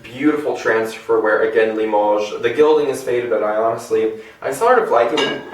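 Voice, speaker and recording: A man's voice: mid-range voice, moderate pace. A young American man presenting a collection in a casual, chatty, enthusiastic and rambling vlog style. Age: young adult. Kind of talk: casual show-and-tell vlog. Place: indoor room at home. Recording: echoey room, distant microphone.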